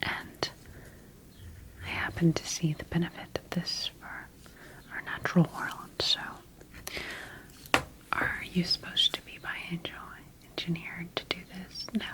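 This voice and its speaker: A woman whispering breathily in an ASMR sci-fi roleplay as a scientist studying her creation, speaking deliberately with pauses. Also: on the low side, level tone, low-quality recording. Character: quietly rogue female scientist studying her engineered creation. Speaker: ASMR performer.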